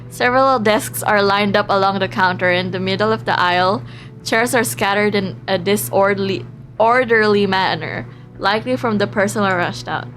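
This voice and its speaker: A woman livestreaming a visual novel, reading aloud with expressive, giggly reactions and the odd stumble over words. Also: mid-range voice. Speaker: live streamer playing and reading a visual novel. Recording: music playing behind the voice.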